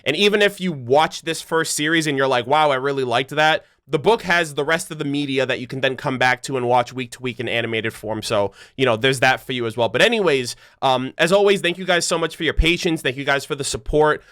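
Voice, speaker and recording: A man's voice: mid-range voice, a little fast, few pauses, fairly bright tone, clear, resonant tone. A man hosting a reaction video, giving casual, slangy commentary full of exclamations.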